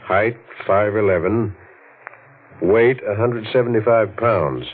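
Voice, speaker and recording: Low, deliberate district attorney. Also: male voice, breathy edge. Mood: businesslike. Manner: deliberate, official. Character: grave, methodical male district attorney. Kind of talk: 1940s radio drama acting. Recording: tinny old radio broadcast.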